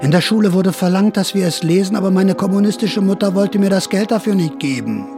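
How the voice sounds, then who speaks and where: A man's voice: sits high, fairly quick, music in the background, natural voice. An actor playing a sly older counterculture veteran who narrates his memories in a radio drama, confiding, wry and theatrical. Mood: confessional, ironic.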